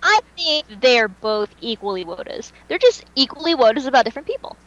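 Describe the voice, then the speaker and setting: A woman's voice: high voice, sing-song, fairly quick, a bit bright, lo-fi sound. A woman co-hosting a fan podcast, chatting casually in a slangy, emphatic, opinionated way. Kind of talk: casual chat.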